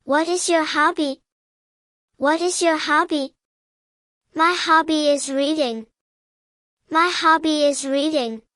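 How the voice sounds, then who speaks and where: A woman with a distinctly high voice reads a beginner language drill. She speaks slowly and carefully in short, evenly measured phrases, repeating each one. The sound is dry, with no room echo. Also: fairly even intonation, a bit bright. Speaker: English teacher narrator.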